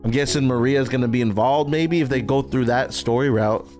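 A man's voice: medium pitch, fairly quick, darkish tone, faint music behind. A young man in casual, slangy movie reaction chat, jovial and swearing freely, close on a podcast mic. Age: young adult. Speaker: reaction show host.

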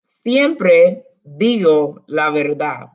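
A lively woman in her thirties teaches a Spanish class from a small room at home over a close webcam. Her Spanish words carry a Dominican accent, and she breaks off into playful, chatty asides to her students. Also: low-pitched, extremely slow, highs cut off. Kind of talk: online class lesson.

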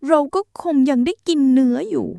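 A woman's voice: sits high, fairly quick, creaky, dark tone, dry recording, studio quality. A woman voicing a greedy, hungry dog musing to itself in a children's storybook tale. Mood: rueful. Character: greedy hungry dog, scheming then rueful.

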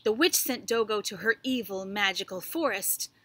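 Middle-aged lady doing a storybook read-aloud for kids, really bright voice, outside with birds and a bit of noise. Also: medium pitch, moderate pace. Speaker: storyteller reading to children. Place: outdoors on a backyard wooden deck. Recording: faint outdoor background noise with birdsong.

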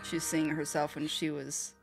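A woman hosting a reaction video, giving casual, expressive commentary with frequent exclamations. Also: sits low, measured pace, vocal fry. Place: own room. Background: music from the watched animation.

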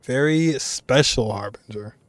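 A man's voice: mid-range voice, unhurried, no room sound. A man giving casual reaction commentary, musing aloud informally and repeating phrases. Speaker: reaction video creator.

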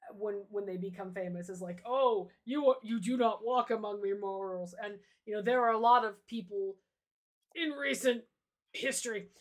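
A woman's voice: mid-range voice, normal speed. A woman host talks in a mid-range voice on a casual video-call livestream. Her delivery is informal and reflective, leaning emphatically on important words. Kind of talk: casual conversational interviewing. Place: indoor room on a video call, beside a co-host.

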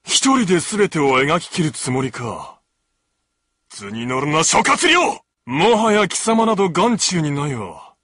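A man's voice: fairly high pitch, sing-song, unhurried, fairly bright tone, dry recording, studio quality, heavily acted. An actor playing a haughty, hot-tempered scheming strategist of ancient China in a video game, contemptuous and pompous as he scolds. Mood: furious, mocking. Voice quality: theatrical, swinging from sneer to shriek. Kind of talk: theatrical video game voice acting. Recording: game music behind the lines.

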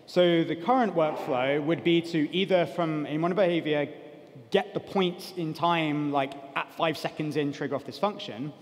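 A man's voice: fairly high pitch, moderate pace. A man in his thirties giving an informal technical talk on a conference stage, explaining things in a casual, loose way. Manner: casual, explanatory, peppered with "like" and "kind of". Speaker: conference speaker. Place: large conference hall stage. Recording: big reverberant hall PA sound.

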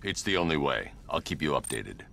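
An actor with an American accent playing a grim, commanding masked vigilante in a video game, stern and terse. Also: male voice, extremely low pitch, very animated, fairly quick, gravelly, sharp tone, a little hiss or hum, full character voice. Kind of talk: video game dramatic acting.

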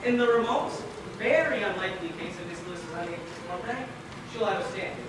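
A man's voice: high voice, fast, close to an everyday voice. A young man playing a fussy, self-important radio show boss in an amateur stage play. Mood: brisk, officious. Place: theatre stage. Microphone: distant stage microphones. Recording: echoey stage from far off, noisy.

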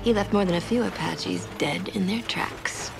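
Actress as creaky-voiced, plucky frontier woman. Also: mid-range voice, normal speed, lightly acted. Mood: relieved, warm. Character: plucky young saloon woman of the Old West. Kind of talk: video game western acting. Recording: loud music over dialogue.

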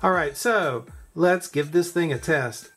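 A man's voice: on the high side, unhurried, a bit bright, breathy edge. A man hosting a hands-on electronics tutorial, talking informally and thinking aloud as he works. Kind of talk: casual narrated build demo. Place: indoor electronics workbench.

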